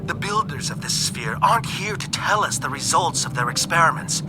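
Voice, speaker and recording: An actor playing an anxious, principled reptilian alien scientist in a video game, speaking in a formal, earnest, deliberate way. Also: male voice, a little high, expressive, lightly acted, poor audio. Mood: exasperated, worried. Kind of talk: scripted video game monologue. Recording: music behind the voice.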